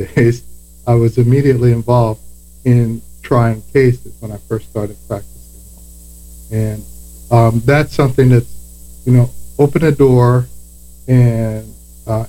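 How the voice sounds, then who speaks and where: A man from South Florida, an attorney, speaking with a South Florida accent as a podcast guest, telling his story in a measured way with frequent fillers. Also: medium pitch, warm tone, rough recording.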